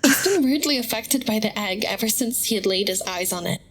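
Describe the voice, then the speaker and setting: A woman playing a wary, sharp-tongued crew member in an audio drama, skeptical and matter-of-fact. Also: medium pitch, animated, fairly quick, a little hiss or hum, close to an everyday voice. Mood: suspicious.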